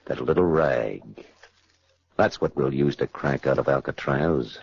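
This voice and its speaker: A man's voice: rumbling low, unhurried, creaky, breathy edge, full character voice. An actor playing a cocky, sneering convict in slangy tough-guy talk, in a 1940s radio crime drama. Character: tough, cocky male convict plotting a prison break. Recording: noisy, muffled 1940s radio recording.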